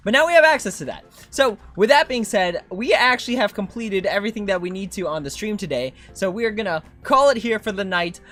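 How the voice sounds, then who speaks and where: Chatty female game streamer, mid pitch. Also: expressive, a little fast, few pauses, fairly bright tone, soft background music. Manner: informal, chatty. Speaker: streamer, host. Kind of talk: casual gaming stream commentary.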